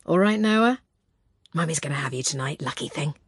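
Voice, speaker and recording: A woman's voice: low voice, expressive, a little fast, breathy edge, no echo, studio quality, exaggerated voice. A woman voicing an easygoing, evasive ex-husband, speaking offhandedly. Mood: offhand. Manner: offhand, casual.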